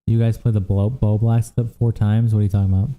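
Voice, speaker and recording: A man's voice: lowish voice, flat delivery, phone-quality sound. A young man hosting a livestream, chatting casually and profanely with viewers while worn out from days of streaming. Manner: casual, profane, reacts to chat with quick asides. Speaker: livestream host. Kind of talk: casual livestream chat reading viewer comments.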